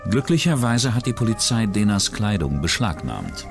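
A man's voice: lowish voice, sing-song, moderate pace, creaky. A man reading scripted true-crime documentary narration in a dramatic, suspenseful storytelling manner. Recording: loud music under the voice. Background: music.

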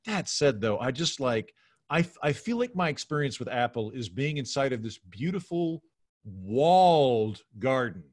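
A man's voice: mid-range voice, moderate pace. A middle-aged man chatting casually as a podcast guest, telling comic anecdotes and acting out the exchanges in them. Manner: animated storytelling, acting out quoted exchanges. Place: home bedroom, indoors. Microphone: video call.

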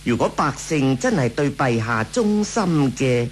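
An actor playing a sly, obsequious court eunuch with an oily, servile delivery in a Cantonese radio drama. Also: male voice, mid-range voice, very animated, unhurried, slight background noise. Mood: fawning, sly.

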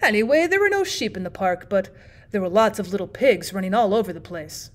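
A woman's voice: mid-range voice, animated, brisk, close to an everyday voice. A woman reading the part of an unflappable bachelor storyteller, matter-of-fact and prompt in a storybook. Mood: unconcerned.

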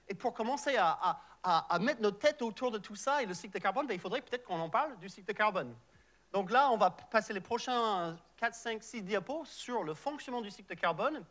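A man's voice: high-pitched, fairly bright tone. A middle-aged man from Canada, a native English speaker with an English-Canadian accent, giving a popular science lecture in a large auditorium, explaining patiently with frequent fillers and restarts. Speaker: researcher giving a public lecture.

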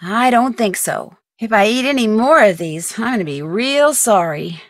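A woman voicing a plucky, curious young detective in a video game, with an American accent and perky, clearly enunciated, expressive delivery. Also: medium pitch, normal speed, no echo, studio quality, lightly acted. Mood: thoughtful, determined. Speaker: game character and tutorial guide.

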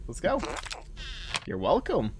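A man's voice: fairly high pitch, huge pitch swings, slow pace, background noise. A man doing casual playthrough commentary as a gaming host, thinking aloud and talking back to game characters. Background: game ambience.

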